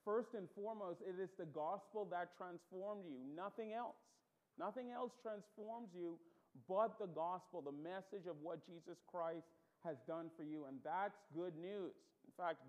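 Pastor with a light Bahamian accent. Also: male voice, high voice, dull audio. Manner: conversational, many ums and restarts, rising to emphatic stresses. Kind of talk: sermon.

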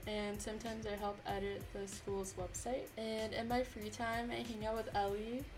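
A twenty-year-old woman co-hosting a podcast, who calls her voice naturally deep and talks in a steady, matter-of-fact way. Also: normal speed, music in the background. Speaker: podcast co-host. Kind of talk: casual chat.

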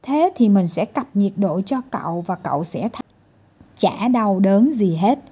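Lady as a tiny man doctor in a kids story, coaxing n scolding, lively, bit breathy. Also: mid-range voice, unhurried. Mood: coaxing, reassuring. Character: tiny male doctor, patient, coaxing, mildly exasperated.

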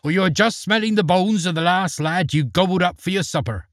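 A man voicing a bossy country giantess, the ogre's wife, scolding and tut-tutting in a raised, lively voice. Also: high-pitched, brisk, dry recording, clean studio sound, pitched above the performer's own voice, close to an everyday voice.

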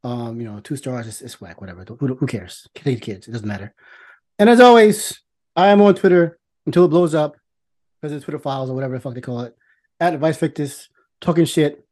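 A man's voice: mid-range voice, animated, fairly quick, darkish tone. A man co-hosting a podcast in casual chat, cocky and slangy, swearing freely. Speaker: podcast co-host. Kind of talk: casual chat, self-promotion.

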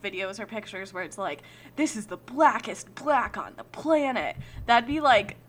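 A woman co-hosting a podcast, chatting in an animated, talkative way. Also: mid-range voice, normal speed, slight background noise. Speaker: podcast co-host. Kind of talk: casual chat.

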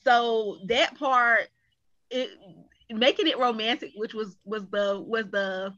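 A woman's voice: on the high side, steady tone, takes it slowly, pushed and bright. A woman chatting casually as a cast member in an interview, on dull lo-fi audio. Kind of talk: casual chat.

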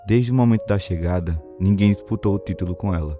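A man's voice: deep voice, fast, mellow, soft background music. A man with a Brazilian accent narrating a period novel as scripted audio drama.

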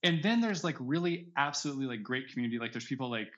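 A man's voice: medium pitch, expressive, fast talker, fairly bright tone. Casual man on slightly muffled audio. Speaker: podcast guest. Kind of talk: casual conversational interview chat.

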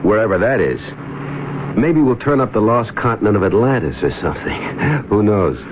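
An actor playing an easygoing, tough salvage diver in an old-time radio drama, with a dry, laconic delivery. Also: male voice, lowish voice, sing-song, normal speed, background noise. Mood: wry, game. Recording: tinny old radio broadcast with music.

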